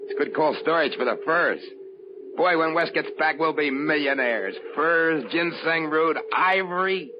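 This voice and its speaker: Man as an eager, hopeful adventurer, higher-pitched. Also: unhurried, natural voice. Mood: greedy, gleeful. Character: stranded male adventurer, hopeful, eager, loyal. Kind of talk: 1950s radio drama acting. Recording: noisy, dull 1950s radio recording.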